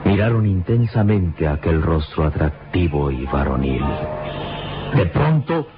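A man's voice: low-pitched, sing-song, measured pace, music in the background. A man narrating an old radio adventure serial in a florid, solemn, suspenseful style. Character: grave male storyteller of adventure serial. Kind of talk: dramatic scripted radio narration. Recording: muffled vintage radio recording.

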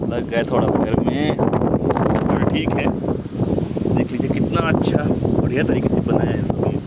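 A man's voice: medium pitch, measured pace. A young man vlogging as he climbs a misty mountain path, talking carefully and a little nervously to himself. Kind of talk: hiking vlog narration. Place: outdoors on a misty mountain trail. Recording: loud wind noise on the mic. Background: wind.

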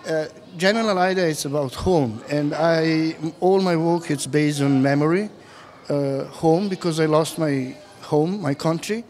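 A man in his fifties from former Yugoslavia, speaking as an artist in an on-camera interview in a gallery room, halting and full of fillers, into a handheld mic. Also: a little high, darkish tone, slight background noise. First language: Serbian. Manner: halting, many fillers and restarts. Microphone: handheld mic held close.

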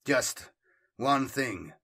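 A man voicing an earnest, principled official in an audiobook, calm, guarded and quietly probing. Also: medium pitch, extremely slow, heavily acted. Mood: restrained.

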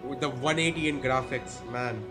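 A man's voice: mid-range voice, moderate pace. A young man with a sore throat, casually commenting on a game he is playing, with wry jokes, into a studio mic in a small room. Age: young adult. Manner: chatty, joking, wry asides. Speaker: gameplay streamer. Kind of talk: casual let's-play commentary. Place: small cluttered room indoors. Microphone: studio mic on arm, headphones. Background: game music.

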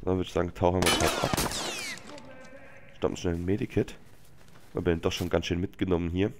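A man's voice: low-pitched, flat delivery, brisk, very noisy. A young man sick with a cold, giving casual, slangy gaming commentary with muttering and swearing into a headset mic. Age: young adult. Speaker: let's player hosting a gameplay series. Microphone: headset microphone. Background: game music and gunfire.

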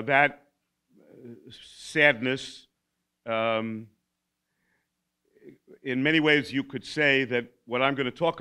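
An older man giving an informal keynote talk at a lectern in a school hall, halting, with many fillers and restarts and wry asides. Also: mid-range voice, pushed and bright, clear, resonant tone. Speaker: keynote speaker. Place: school hall with a seated audience. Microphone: podium mic, standing at lectern.

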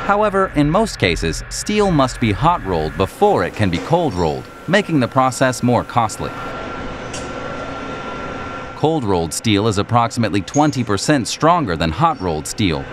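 A man reading scripted documentary narration in a measured, explanatory manner. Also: mid-range voice, sing-song, noisy background.

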